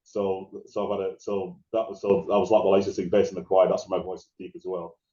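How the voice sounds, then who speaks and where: Deep-voiced man in an echoey, muffled room. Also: little pitch movement, fast talker, dark tone. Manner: rambling, restarts and laughs while telling stories. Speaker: guest DJ. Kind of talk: casual interview conversation.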